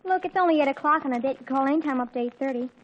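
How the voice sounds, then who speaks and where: High, fast-talking, dramatic teenage girl played by a woman. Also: lightly acted. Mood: fretful. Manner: earnest, flustered, affectedly grown-up wording. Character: dramatic, fretful teenage daughter, boy-crazy and earnest. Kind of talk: 1940s radio sitcom acting. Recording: muffled, noisy 1940s radio recording.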